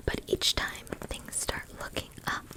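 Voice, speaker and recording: A woman speaking in a gentle, affectionate, breathy whisper as an ASMR host reading a children's story. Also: unhurried.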